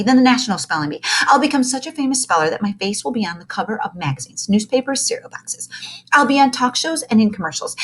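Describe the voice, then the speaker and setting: A woman's voice: a little high, breathy edge. A woman voicing a smug, boastful, dreamy fourth-grade girl in a children's storybook.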